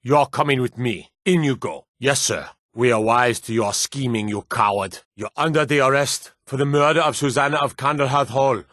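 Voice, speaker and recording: A man's voice: mid-range voice, normal speed, few pauses, fairly bright tone, no echo, studio quality, natural voice. A man playing a stern, wary medieval city guard in a fantasy video game, with an authoritative, curt way of speaking. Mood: stern, accusing. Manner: authoritative, curt, clipped commands.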